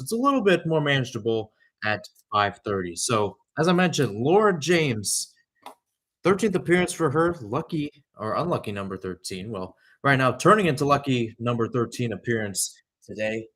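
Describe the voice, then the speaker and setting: Young adult man, radio sports commentator, lively varied intonation, emphatic delivery, mid-range pitch. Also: moderate pace. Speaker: play-by-play commentator.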